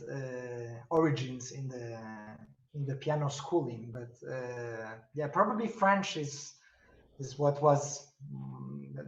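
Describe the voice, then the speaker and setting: A middle-aged man, a pianist and professor, answering interview questions in English, halting and thoughtful with many fillers and restarts. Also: medium pitch, vocal fry. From Spain. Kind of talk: video-call interview answers. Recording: roomy, distant, dull video-call audio.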